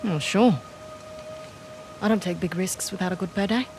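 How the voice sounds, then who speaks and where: A woman's voice: fairly low pitch, normal speed, darkish tone, soft background music, natural voice. A woman playing a pragmatic, tough mercenary in a video game, with a dry, matter-of-fact manner. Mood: dry, guarded. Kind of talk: video game cutscene acting.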